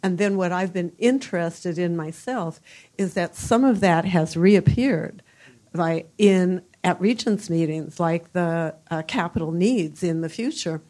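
A woman's voice: lowish voice, unhurried, mellow. An older woman answering on a university panel, speaking in a reflective way with many fillers. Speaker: panelist, former university provost.

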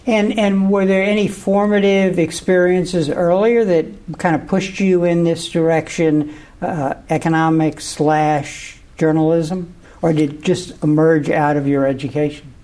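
A man's voice: a little high, flat delivery, a little slow, a little mellow. Hesitant male interview host. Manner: hesitant questions with many uhs and restarts. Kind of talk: academic interview hosting.

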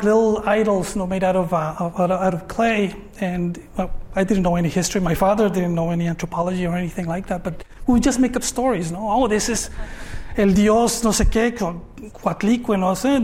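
A man in his fifties with a Mexican accent talks about his work on stage. His voice sits high for a man. He pauses often and tags many of his phrases with a questioning "no?". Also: a little mellow. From Mexico, Tijuana. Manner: hesitant, filler-heavy, frequent tag "no?". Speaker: artist guest. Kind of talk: conversational talk on stage.

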